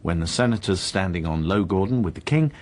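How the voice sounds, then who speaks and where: An actor playing a blunt, irreverent police detective with a dry, sardonic manner in a radio drama. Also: male voice, low voice, animated, a little fast, darkish tone. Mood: wry. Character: blunt, irreverent male police colleague, gun enthusiast.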